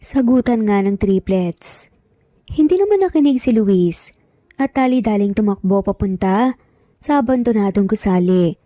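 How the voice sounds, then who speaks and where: A woman's voice: mid-range voice, expressive, normal speed, mellow, no echo. A woman reading scripted narration as the storyteller of a romance story.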